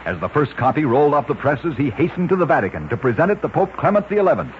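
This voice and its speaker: Lively old-time radio announcer man. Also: a little high. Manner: brisk announcer delivery of odd facts. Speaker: radio show narrator. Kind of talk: scripted radio narration. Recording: muffled old radio recording with hiss.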